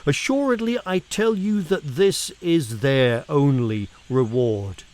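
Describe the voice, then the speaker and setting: A man reading scripture aloud in an expressive, dramatic way, deliberate and emphatic, with weighted pauses. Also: fairly high pitch. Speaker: reader of scripture.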